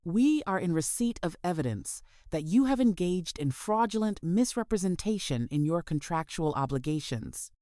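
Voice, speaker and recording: A woman's voice: low-pitched, mellow, dry recording, studio quality. A woman voicing a stern corporate lawyer with a glacial, formal manner, in a narrated story. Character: stern, icy corporate legal department letter-writer. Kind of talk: read-out letter in a narrated story.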